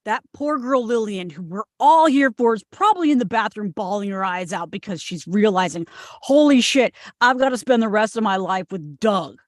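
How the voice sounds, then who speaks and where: A woman's voice: medium pitch, expressive, normal speed, dry recording, clean studio sound, natural voice. A woman playing a brash, crude bridesmaid who talks bluntly and emphatically in a comedy table read.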